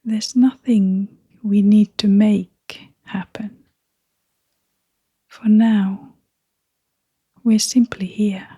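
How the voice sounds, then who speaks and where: A woman leading a guided meditation in a gentle, hushed, breathy voice, leaving long pauses between short phrases. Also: medium pitch, steady tone, dry recording. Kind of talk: guided meditation, soft-spoken.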